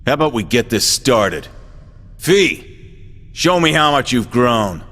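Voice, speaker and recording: An actor playing a confident veteran mercenary and proud father figure in a video game, bold and unhurried. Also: mid-range voice, big pitch swings, a bit bright, exaggerated voice. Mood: proud, challenging. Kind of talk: English video game dub acting. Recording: echoey hall reverb.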